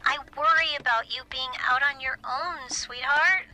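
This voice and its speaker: A woman's voice: high voice, normal speed, pushed and bright, poor audio. An actress in an audio drama playing a fretful, smothering middle-aged mother with a sugary, hesitant, disapproving manner. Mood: fretful, coaxing. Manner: hesitant, cloying, passive-aggressive.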